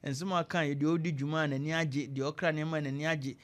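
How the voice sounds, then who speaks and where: A man's voice: on the high side, fairly even intonation, fast. A man with a Ghanaian accent hosting a conversational radio interview, interjecting and echoing his guest's points.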